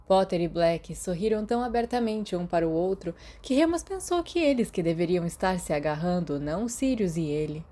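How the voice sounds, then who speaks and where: A Brazilian woman narrates a story from a script in a soft-edged, mellow voice. Her pitch sits a little low for a woman, and she reads as an audiobook narrator. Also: very animated, a little fast, hardly any pauses. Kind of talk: scripted fan fiction narration.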